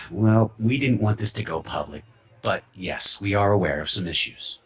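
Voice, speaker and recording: An actor playing a guarded, evasive company employee in a video game. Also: male voice, on the low side, animated, moderate pace, fairly bright tone. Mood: reluctant. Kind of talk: video game dialogue acting.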